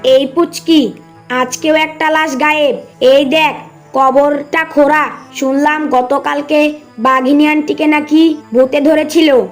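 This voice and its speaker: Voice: high voice, fairly even intonation, slow, soft background music. Someone voicing an anxious little cartoon animal kid. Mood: alarmed. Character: anxious young animal child, gossipy believer in ghosts. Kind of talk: cartoon dialogue.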